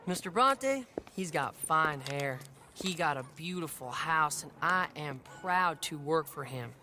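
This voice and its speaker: A man's voice: fairly high pitch, animated, measured pace, sharp tone, slight background noise, exaggerated voice. A male performer playing a cocky, taunting street urchin boy in a video game, drawling with mock politeness. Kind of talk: cinematic video game acting.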